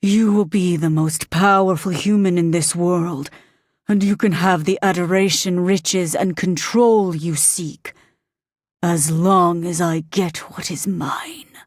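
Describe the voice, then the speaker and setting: A woman voicing a huge, vengeful male demon lord, speaking slow and menacing in a lowered voice. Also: dry recording, clean studio sound.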